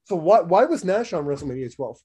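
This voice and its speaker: A man's voice: a little high, big pitch swings, brisk, dark tone, breathy edge. A man in his thirties co-hosting a casual wrestling podcast while sick and losing his voice, rambling with lots of fillers and restarts. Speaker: podcast co-host.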